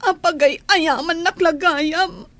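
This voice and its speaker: A woman playing a heartbroken young housemaid in a radio drama, tearful and wailing, with drawn-out delivery. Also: very high voice, a bit bright, heavily acted. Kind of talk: Ilocano radio comedy drama acting.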